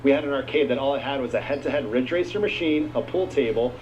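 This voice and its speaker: A man's voice: medium pitch, quick pace, darkish tone, slight background noise, poor audio. A man from Lebanon chatting casually and enthusiastically as they show off a collection at home, in a rambling, anecdotal way. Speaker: collector giving a tour of a home arcade. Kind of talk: casual show-and-tell chat. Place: indoor rooms of own house.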